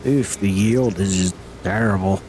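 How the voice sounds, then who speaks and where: A man's voice: lowish voice, measured pace, a little mellow, a little hiss or hum, poor audio. A middle-aged man chatting casually with viewers as he streams a game, folksy and teasing. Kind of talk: casual live-stream chat while gaming.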